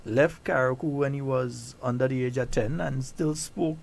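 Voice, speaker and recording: A man hosting a talk show, chatty and quick to laugh. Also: medium pitch, gravelly, a little mellow. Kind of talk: casual talk show chat.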